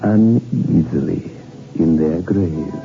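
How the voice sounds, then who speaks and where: A man's voice: low-pitched, vocal fry. A man narrating ominously as host of an old horror radio series. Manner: slow, deliberate and sinister. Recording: dull 1960s radio recording with music.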